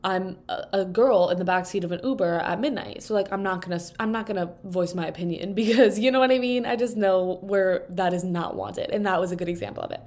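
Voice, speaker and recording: A woman's voice: medium pitch, a little fast, few pauses, darkish tone. A young woman in her twenties hosting a casual solo podcast, stuffed up from allergies, rambling in a chatty way with lots of fillers, recorded on a microphone propped on a table. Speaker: podcast host. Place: indoors at a table.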